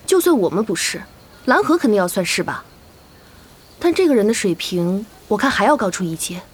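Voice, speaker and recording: Analytical guildmate: female, breathy-husky, animated, probing, audio drama. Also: mid-range voice, a little fast. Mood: doubtful, probing. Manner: questioning, reasoning. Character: serious, analytical female guild member, doubtful.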